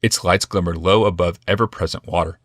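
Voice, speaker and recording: A man narrates a fantasy novel in a low voice. The recording is close and dry, in a scripted storytelling style. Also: fairly even intonation, fairly quick, dry recording. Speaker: audiobook narrator.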